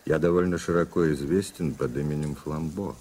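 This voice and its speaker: An actor playing a sardonic, impatient reformed master criminal in a radio play, speaking with wry mockery in a husky voice. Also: male voice, extremely low pitch, fairly even intonation, darkish tone. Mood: wry, confessional. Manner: wry, mocking, deliberate. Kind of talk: 1980s radio play acting.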